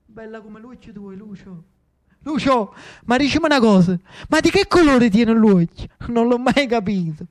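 A man's voice: very high voice, animated, normal speed, dry recording, pitched above the performer's own voice. A man playing an anguished, weary Neapolitan man who pleads emotionally and repetitively in a theatrical radio monologue. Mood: tender wonder.

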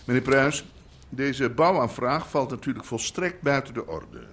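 Curt, formal alderman, lively intonation. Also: medium pitch, a little hiss or hum. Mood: stern, probing. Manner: measured, official, curt. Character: formal, probing city alderman, curt and authoritative. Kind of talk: naturalistic audio drama acting.

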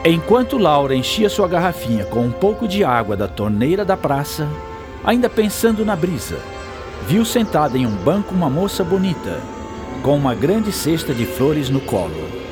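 A Brazilian man tells a scripted radio story in an expressive voice. His pitch is a bit high and moves widely, and clear music plays underneath. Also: moderate pace. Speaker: radio drama narrator.

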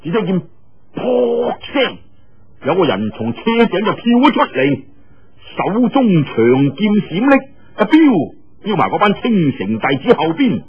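A man's voice: sits high, huge pitch swings, unhurried, dull audio, a little hiss or hum. A man with a Cantonese accent telling a martial-arts tale in a dramatic, colloquial, exclamatory style. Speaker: storyteller. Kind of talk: animated martial-arts storytelling.

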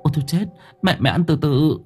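A man voicing a timid middle-aged daughter-in-law who stammers and pleads softly. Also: fairly high pitch, expressive, moderate pace, loud music behind the voice, pitched above the performer's own voice. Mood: pleading.

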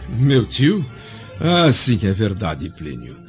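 An elderly Roman senator in a radio drama. His voice is fairly low and soft-edged, with stately, fatherly phrasing. The sound is dull and lo-fi, with faint music behind. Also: wildly swooping pitch, takes it slowly, exaggerated voice. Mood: solemn. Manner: formal, grandiloquent declamation.

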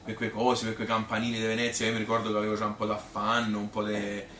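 A man's voice: lowish voice, monotone, fast, a bit bright, natural voice. Man playing a rambling Tuscan mother, roomy and distant. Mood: worried, reminiscing. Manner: rambling, colloquial, hesitant, self-questioning. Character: plain-spoken Tuscan mother reminiscing about marriage and pregnancy. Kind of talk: conversational theatrical monologue. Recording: roomy, distant stage recording with noise.

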